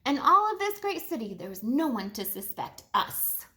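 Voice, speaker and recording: A sneaky male thief from a children's storybook, put on by a woman. He is dim and easily spooked. The voice is high, with big swings in pitch. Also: sing-song, moderate pace, bright, forceful tone, exaggerated voice. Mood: sly.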